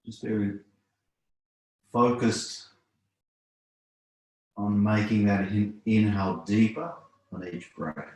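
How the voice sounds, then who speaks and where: A man teaching yoga, guiding relaxation and breathing in calm, unhurried phrases with long pauses. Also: fairly low pitch. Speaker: yoga instructor. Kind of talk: guided yoga relaxation instruction. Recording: very echoey room.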